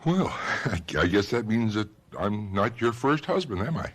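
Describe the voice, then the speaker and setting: An actor playing a smitten, skeptical suitor in a radio drama, with a casual, halting, teasing way of talking. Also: male voice, lowish voice, big pitch swings, fairly bright tone.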